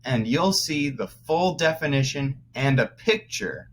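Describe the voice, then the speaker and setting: A man teaching young children through a read-aloud, pausing to explain words as he goes. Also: mid-range voice, lively intonation, slight background noise. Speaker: first-grade teacher.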